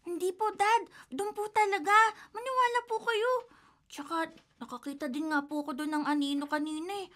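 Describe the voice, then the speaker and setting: A woman's voice: extremely high pitch, fairly even intonation, fairly quick, fairly bright tone. An actress voicing a frightened young grandson who politely insists he heard a ghost, in a radio drama. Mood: insistent.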